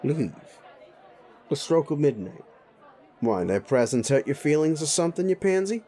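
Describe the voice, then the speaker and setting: A man's voice: a little high, very animated, normal speed, warm tone, a faint crowd. A man playing a sleazy, bullying, foul-mouthed werewolf boss in a roleplay audio drama, blunt and needling. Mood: hostile, mocking. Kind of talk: immersive roleplay audio drama addressed to listener.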